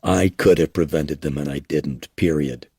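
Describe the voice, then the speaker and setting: A man voicing a weary, guilt-ridden small-town police chief with a gruff manner. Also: rumbling low, fairly even intonation, normal speed, dark tone, no room sound, studio quality, pitched below the performer's own voice, heavily acted. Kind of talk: audiobook character reading.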